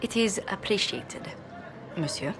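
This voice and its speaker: A woman's voice: medium pitch, animated, measured pace, fairly bright tone, faint music behind. A woman playing a poised, earnest noblewoman diplomat in a video game, speaking in a formal, articulate way. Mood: guarded. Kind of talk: video game drama acting.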